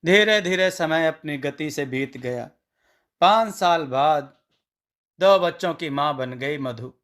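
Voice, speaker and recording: A man narrating a scripted family story in a measured storybook manner. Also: fairly high pitch, pushed and bright.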